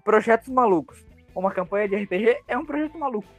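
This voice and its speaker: This man speaks with a southern Brazilian gaúcho accent. He hosts a loose podcast chat at an ordinary pitch, joking and swearing freely. Faint music plays softly behind him. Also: animated, fast talker. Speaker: podcast host.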